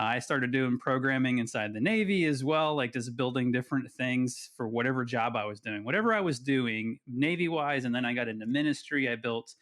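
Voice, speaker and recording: A man's voice: mid-range voice, a bit bright. A man in his forties, a podcast guest telling his story in a chatty, self-deprecating way. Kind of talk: casual podcast interview.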